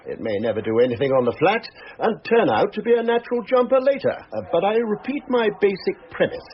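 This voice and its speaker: An actor playing an authoritative upper-class brigadier and racing steward in a radio drama, precise, pompous and commanding. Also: male voice, high voice, expressive, fairly quick, slightly rough. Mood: stern, scornful. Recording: slightly noisy, dull radio drama.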